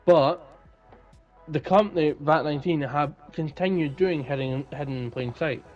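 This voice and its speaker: A man's voice: fairly high pitch, moderate pace, lo-fi sound. Man chatting, music behind. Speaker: podcast co-host. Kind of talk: casual podcast chat.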